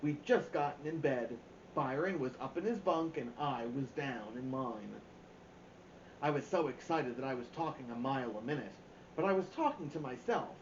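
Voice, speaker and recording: A man's voice: mid-range voice, moderate pace. A man reading a children's novel aloud as a storyteller. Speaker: story-time reader. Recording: roomy, dull, faint background noise.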